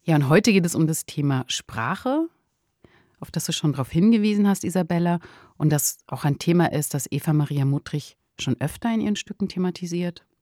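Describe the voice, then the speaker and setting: A woman's voice: lowish voice, animated, moderate pace, darkish tone, dry recording. A woman co-hosting a podcast, giving conversational commentary on radio drama into a close studio mic. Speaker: podcast co-host.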